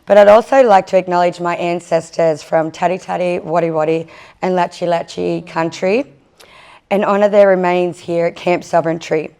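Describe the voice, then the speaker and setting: Husky, nervous woman. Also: fairly low pitch. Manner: nervous and warm, with many fillers. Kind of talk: welcome and acknowledgement speech. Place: conference session room.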